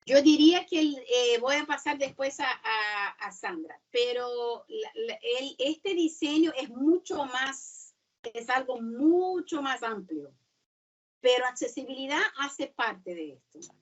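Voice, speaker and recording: A woman who is not a native Spanish speaker, giving a seminar talk and often pausing to search for words. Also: high voice, animated, breathy edge, recorded from a distance, highs cut off. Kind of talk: webinar talk.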